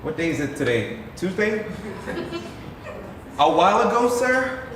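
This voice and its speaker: A man's voice: high voice, sing-song, measured pace, a bit bright, full character voice. An actor playing an envious, dryly witty adult son in a stage play, sardonic yet dutiful to his father. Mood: evasive. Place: theatre stage, recorded from a distance. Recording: echoey theatre stage from far off, faint noise.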